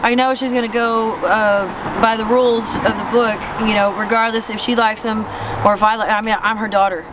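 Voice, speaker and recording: A woman in her forties from Indiana telling her personal story in an interview, halting as she searches for words. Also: on the high side, flat delivery. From Indiana, then Texas. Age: 40s. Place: outdoor camp in the woods. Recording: loud outdoor traffic noise.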